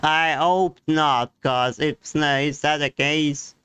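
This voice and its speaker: Smooth-voiced man streaming a game. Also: a little high, steady tone, takes it slowly, sharp tone, no room sound. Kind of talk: casual live stream commentary.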